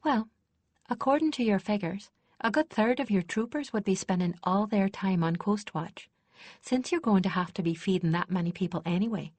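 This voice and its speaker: A woman's voice: mid-range voice, darkish tone, breathy edge, no room sound, voice pushed up, natural voice. A woman reading a polite, earnest young herald. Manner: polite, reasoning.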